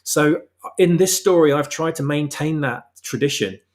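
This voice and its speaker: A man's voice: on the high side, normal speed. A man giving emphatic opinions in a casual discussion, often repeating his phrases for weight. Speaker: guest, fan-fiction writer.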